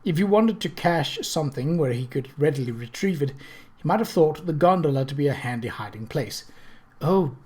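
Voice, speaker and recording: A man narrates a period mystery novel in a voice pitched a little high. His phrasing is measured and storybook-like, as an audiobook reader's is. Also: lively intonation, darkish tone.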